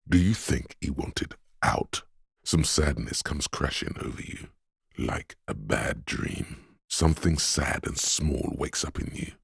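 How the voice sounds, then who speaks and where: A super deep, really husky inner voice for a game, eerie and mystical, slow-burn dread, narrating straight at you. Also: male voice, a little mellow, dry recording, studio quality, exaggerated voice. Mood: hushed sorrow. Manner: portentous, deliberate, theatrical. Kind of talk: dramatic second-person game narration.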